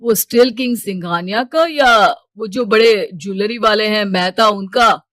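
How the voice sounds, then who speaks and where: A woman's voice: medium pitch, a little slow, a bit bright, no echo, studio quality, natural voice. A woman voicing a stern, status-proud rich father, commanding and dismissive, in a cartoon story. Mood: indignant.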